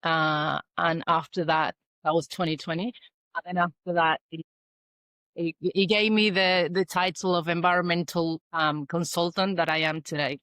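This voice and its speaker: Argentine woman, Australian-tinged accent, lower voice, dry close sound, halting thoughtful guest talk. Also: fairly even intonation, a bit bright, no echo. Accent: Argentine Spanish mixed with Australian. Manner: thoughtful, halting, many fillers and restarts. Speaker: guest, environmental consultant. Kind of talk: podcast interview.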